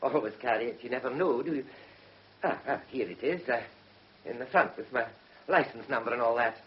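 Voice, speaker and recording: A man's voice: medium pitch, fairly quick, close to an everyday voice. A male actor as an evasive witness, bumbling, hesitant, chatty, courtroom radio play, dull hissy recording. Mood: flustered, rambling. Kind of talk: radio drama acting.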